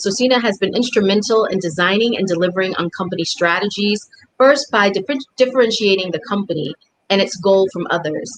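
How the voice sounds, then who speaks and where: Female host, mid-pitched voice, friendly, lightly scripted intro with fillers. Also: poor audio. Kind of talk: online interview hosting.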